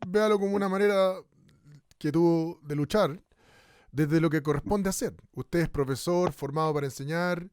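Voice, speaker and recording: A man's voice: on the high side, moderate pace, darkish tone, clear, resonant tone, dry recording, close to an everyday voice. A man with a Chilean accent playing an earnest, formal radio host in a studio radio drama set in a bleak future. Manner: solemn, formal, speaks of hope. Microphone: studio microphone.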